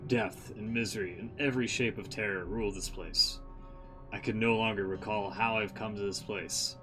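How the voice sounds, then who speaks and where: A man's voice: on the low side, fairly even intonation, normal speed, bright, forceful tone, faint music behind. A man voicing a haunted scholar who solemnly narrates his grim horror journal from a game. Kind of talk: dramatic scripted narration.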